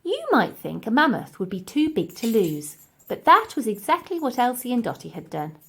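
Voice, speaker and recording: A woman's voice: fairly high pitch, very animated, normal speed, breathy edge. A woman narrating a children's storybook expressively as its reader.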